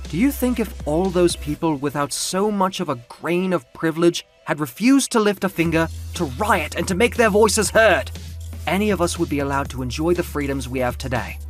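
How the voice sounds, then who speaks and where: A proud winged muse, acted out by a man for an audio comic book, declaims in a high, sweeping voice. He is indignant and grandiose, pitching his speeches like an impassioned orator, with music behind him.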